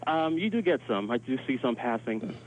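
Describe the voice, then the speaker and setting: A man's voice: medium pitch, animated, normal speed. A man giving an informal live eyewitness account by phone, in loose phrasing full of 'like', as a hotel worker. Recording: dull noisy phone line, sirens behind. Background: alarms and emergency sirens.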